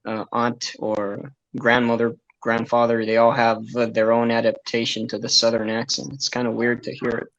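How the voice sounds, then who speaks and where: Man from Israel, band guest, thoughtful, hesitant pauses, middle pitch, muffled lo-fi recording. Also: flat delivery, fairly bright tone. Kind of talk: casual interview answers. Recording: dull lo-fi sound.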